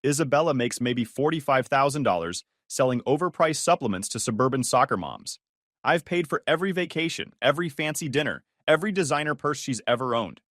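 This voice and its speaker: A man's voice: medium pitch, fairly bright tone, no echo, clean studio sound. A man narrating his own story in the first person, in a sarcastic, wry way.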